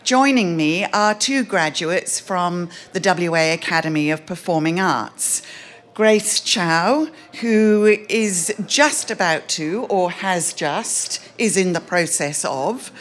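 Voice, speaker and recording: A woman hosting a literary event, giving a prepared introduction in a measured, formal manner. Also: lowish voice, a bit bright.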